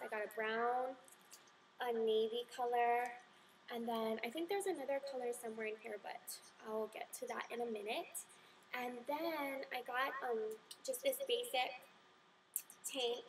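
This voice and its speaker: A woman's voice: fairly high pitch, distant mic, slight background noise, poor audio. A young woman chatting casually through a shopping haul, bubbly and full of fillers. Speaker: beauty vlogger. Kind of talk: casual haul video chat.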